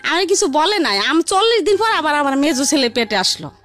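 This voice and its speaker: A woman's voice: high voice, very animated, fairly quick, a bit bright, soft background music. A woman telling her life story in a rambling, repetitive, conversational way. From Barisal region, Bangladesh. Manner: rambling, repetitive, colloquial narration.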